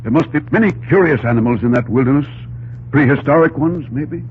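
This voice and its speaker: A man's voice: on the high side, fairly quick, lightly acted. Actor as musing statesman, tinny old radio. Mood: wistful wonder. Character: visionary, wistful middle-aged American president. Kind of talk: old-time radio drama acting. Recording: muffled, hissy old radio recording.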